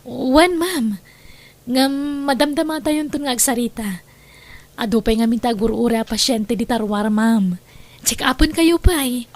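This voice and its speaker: A woman's voice: a little high, measured pace. A woman playing a composed, gracious young doctor in an Ilocano radio drama, speaking politely. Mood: polite, professional.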